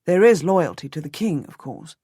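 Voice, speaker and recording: A woman voicing a steady, cautious nobleman husband who speaks in a calm, measured, commanding way. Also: low-pitched, husky, dry recording, clean studio sound, full character voice. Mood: persuasive. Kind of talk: audiobook character voice.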